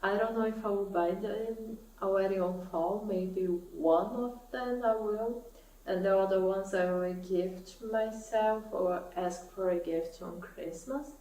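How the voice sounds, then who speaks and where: A young woman speaks English, slowly and thoughtfully, in an easy vlog chat. She is recorded from a little way off the mic, in an echoey room with a faint hiss underneath. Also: medium pitch, fairly even intonation, far from the mic. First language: Portuguese. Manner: soft-spoken, thoughtful, laughs often. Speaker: knitting vlogger. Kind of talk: casual chat to camera.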